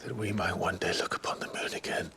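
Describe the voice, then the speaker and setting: A man playing a brooding, devoted warrior hero in a fantasy game cutscene, with a hushed, breathy, gravelly voice and solemn, earnest delivery. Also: sits low, fast, in a whisper. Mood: solemn, tender. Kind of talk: dramatic video game cutscene acting.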